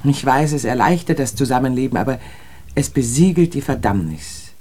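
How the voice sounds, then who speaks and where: An actress playing a disillusioned, educated woman in a literary radio drama, speaking in a measured, poetic and pensive way. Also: a bass voice, animated, dark tone. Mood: bitter, pensive.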